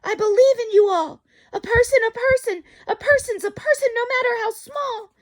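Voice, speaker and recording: A woman's voice: very high voice, moderate pace, pitched above the performer's own voice. A woman voicing a kind, brave male elephant, earnest, urgent and encouraging, in a storybook reading. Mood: desperate, rallying.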